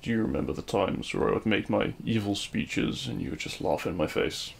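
A man playing a regretful, lovelorn supervillain in an audio roleplay, speaking in a hushed, halting, wistful way. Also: lowish voice, expressive, gravelly, slightly rough, exaggerated voice. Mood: regretful, empty. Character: regretful lovelorn male supervillain addressing his fallen hero. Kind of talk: scripted ASMR audio roleplay monologue.

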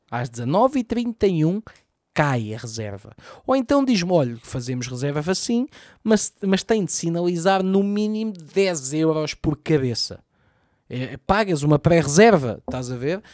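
A Portuguese man with a Lisbon accent rants through a solo podcast, swearing freely and throwing his arms around as he talks. His voice sits a bit high for a man and comes across close and dry, recorded on a boom mic right at his mouth in a small home room. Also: dry recording. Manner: profane, ranting, animated with big arm gestures. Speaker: comedian podcast host. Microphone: boom mic close to mouth, wearing headphones.

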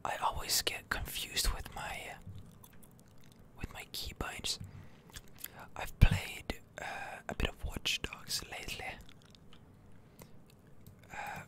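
Slow whispering ASMR gamer. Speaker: gaming streamer, ASMR video host. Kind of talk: whispered ASMR game commentary.

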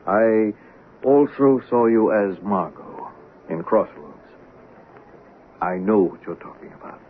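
An actor playing a pressing but sympathetic police sergeant interrogator in a 1970s radio drama. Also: male voice, on the low side, lively intonation, slow. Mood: gentle, persuasive. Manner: pressing, persuasive. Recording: tinny, noisy vintage radio recording.

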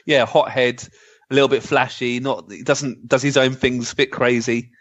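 A man's voice: medium pitch, fairly even intonation, moderate pace, lo-fi sound. A man co-hosting a podcast, chatting casually in a playful, joking way. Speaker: podcast co-host. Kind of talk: casual chat.

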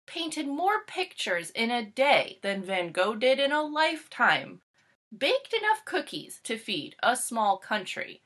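A woman's voice: fairly high pitch, bright, forceful tone, slightly rough, full character voice. Woman as a hapless, doubtful little boy. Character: hapless, doubtful young boy, the willing sidekick. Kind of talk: picture-book character reading.

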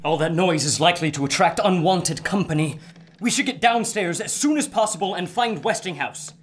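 A man's voice: high voice, lively intonation, fairly quick. Man as nervous scholarly doctor, noisy scene. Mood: anxious. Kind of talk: pulp radio drama acting.

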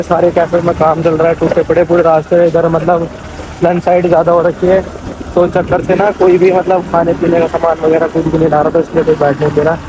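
A man's voice: a little high, background noise. A North Indian man chatting casually as he hosts a travel vlog. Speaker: vlogger hosting an outing with friends.

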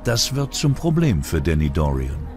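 Deep male narrator, true crime doc script, some music behind him. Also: very animated, moderate pace, darkish tone. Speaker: documentary narrator.

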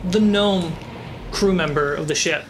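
A man acting as game master, narrating a tabletop fantasy game in suspenseful phrases broken by pauses. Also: on the high side.

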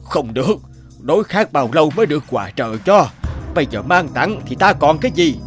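Grumpy, greedy beggar with swooping pitch. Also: male voice, sing-song, fairly quick, soft background music. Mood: selfish, defensive. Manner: muttering to himself, full of hesitant grunts. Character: grumpy, greedy, selfish male beggar in a folk tale. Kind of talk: cartoon fairy-tale voice acting.

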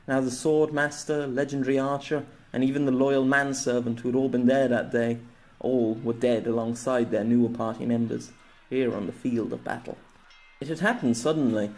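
A man narrates a scripted story in the manner of an audiobook. His voice sits at a medium pitch. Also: darkish tone.

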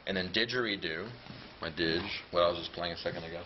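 Low, easygoing man in his thirties walking through his instruments; dull, noisy camera sound. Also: fast. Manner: playful, loose, chatty. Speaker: clarinetist. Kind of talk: casual explanation of his instruments. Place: large rehearsal room indoors.

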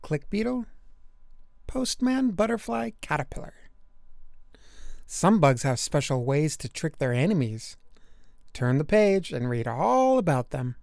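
A man narrating a children's nature book aloud, with deliberate, emphatic storytelling for young listeners. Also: a little high, sing-song, vocal fry, a little mellow, no room sound, studio quality.

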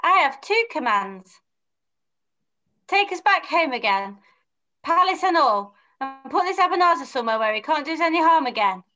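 A woman's voice: high-pitched, big pitch swings, normal speed, sharp tone, faint music behind, heavily acted. A young woman playing a brave, earnest young male hero in an amateur pantomime read-through on a video call. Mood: resolute.

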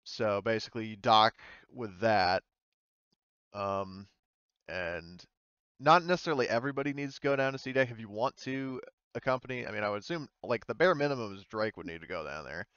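A man's voice: on the low side, brisk, a bit bright, clear, resonant tone, no room sound, lo-fi sound. A man narrating a tabletop game as game master in a casual, hesitant, improvised way, explaining as he goes.

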